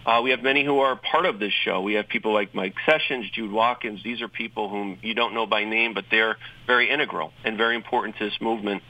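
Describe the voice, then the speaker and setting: A man with a New York accent talks in a bright, forceful tone as a guest on a talk panel. He keeps things chatty and drops joking asides, restarting phrases often. His voice comes from a little way off the mic. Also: fairly low pitch, quick pace, hardly any pauses, distant mic, low-quality recording. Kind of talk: conversational talk show remarks.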